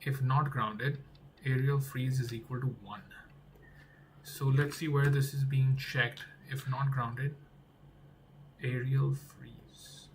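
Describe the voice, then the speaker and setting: A man's voice: medium pitch, fairly even intonation, takes it slowly, vocal fry, pauses often, slight background noise, low-quality recording. A young man who is not a native English speaker, talking to himself while he works through game code, repeating words and trailing off. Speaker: game developer. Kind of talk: think-aloud coding vlog.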